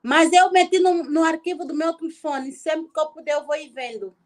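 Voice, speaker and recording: Very high-voiced, hesitant woman student. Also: moderate pace, a little hiss or hum. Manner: hesitant, thinks aloud while answering. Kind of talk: informal one-on-one lesson.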